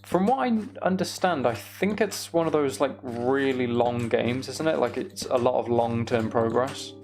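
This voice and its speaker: Man chatting casually with creaky voice. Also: mid-range voice, a little mellow, faint music behind. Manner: unhurried, thinking aloud while playing. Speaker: streamer. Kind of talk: casual gaming livestream chat.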